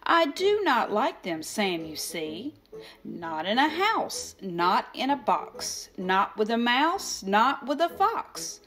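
A woman voicing a stubborn, exasperated storybook grouch with emphatic, drawn-out protests. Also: fairly high pitch, sing-song, a bit bright, exaggerated voice. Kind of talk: expressive children's picture book read-aloud.

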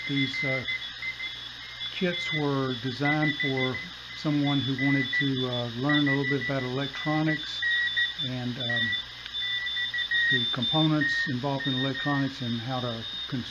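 A man in his seventies or older giving a casual, unscripted hands-on demonstration in a halting, plain-spoken way. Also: medium pitch. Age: 70s or older. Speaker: hobbyist demonstrating a vintage radio. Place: basement room with a desk. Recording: dull home recording, loud radio hiss.

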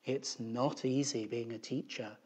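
A man voicing a calm, quietly sympathetic man in a children's storybook, subdued and measured. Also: medium pitch, husky. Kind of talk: children's storybook character reading.

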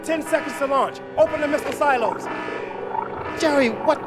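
A panicked military officer in a game cutscene, pushing his voice extremely high with urgent strain. Very loud music plays behind him. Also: normal speed. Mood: alarmed.

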